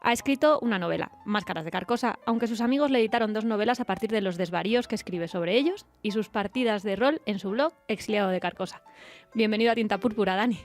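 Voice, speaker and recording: Female radio host, Spain accent, conversational interviewing style, slightly low voice, faint music underneath.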